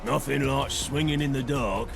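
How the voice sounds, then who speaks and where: A man's voice: mid-range voice, fairly even intonation, normal speed, gravelly, over music. A man playing an insecure, earnest young brawler in a video game, speaking plainly and hesitantly, stumbling over his words. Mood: gruff, eager. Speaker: video game companion character. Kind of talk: game voice acting, halting heartfelt dialogue.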